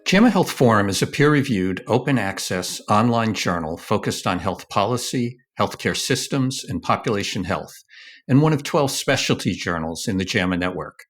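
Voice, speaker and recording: A man's voice: on the low side, animated, moderate pace. A man hosting a podcast, introducing it and putting questions as a journal editor.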